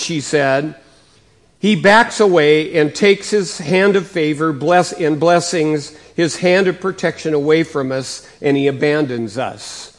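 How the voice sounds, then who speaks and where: A male pastor preaching a sermon in a conversational way, his voice slightly raspy from coughing, rising and getting louder to stress points. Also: fairly high pitch. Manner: conversational, with many fillers and restarts; rises and gets louder for emphasis.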